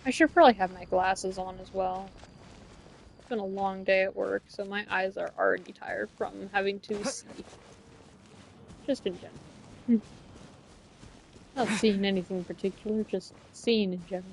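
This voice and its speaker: A woman's voice: mid-range voice, steady tone, normal speed, creaky, pauses often, slight background noise. A woman game streamer giving casual live commentary, musing aloud to herself as she plays. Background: faint game music.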